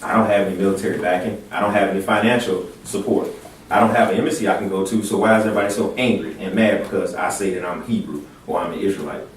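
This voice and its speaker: A man's voice: low voice, steady tone, quick pace. A man hosting a casual religious discussion, talking animatedly and pushing his points with emphasis. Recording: echoey room, distant mic, noisy.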